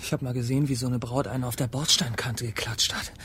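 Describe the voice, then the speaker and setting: A man's voice: medium pitch, steady tone, fast talker, fairly bright tone, slight background noise, lightly acted. A young man playing a tense, fatalistic, streetwise teenage boy in a radio drama, speaking tersely. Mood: tense, grim.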